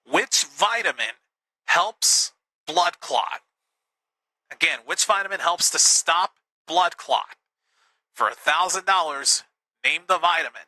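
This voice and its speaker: A man hosting a live game show with an upbeat, teasing manner, a little hoarse. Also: on the high side, animated, takes it slowly, pauses often, bright, forceful tone, dry recording, rough recording. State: slightly hoarse.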